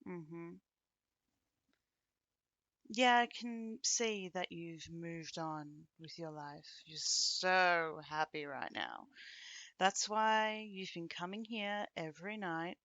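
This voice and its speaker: A woman's voice: fairly low pitch, fairly bright tone, no room sound, dull audio, exaggerated voice. A woman playing a bitter, taunting young ex-girlfriend in an ASMR roleplay, speaking to the listener in a teasing, sardonic way. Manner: teasing, sardonic, with dry chuckles and pointed pauses.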